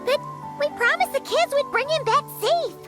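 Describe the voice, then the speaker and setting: A woman voicing a tiny, excitable, childlike game companion with a squeaky voice and an earnest, exclamatory manner. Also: moderate pace. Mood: alarmed, earnest. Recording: loud music behind.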